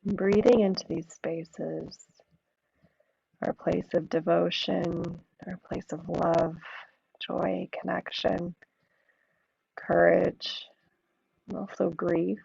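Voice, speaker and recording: A woman with a low, mellow, deeply gravelly voice guides a yin yoga class at an unhurried crawl. She sounds hoarse and a little froggy, her cues soft and drawn out. The recording is dull and lo-fi. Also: little pitch movement, no echo. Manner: slow, soothing, drawn-out cues. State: hoarse from an allergy attack.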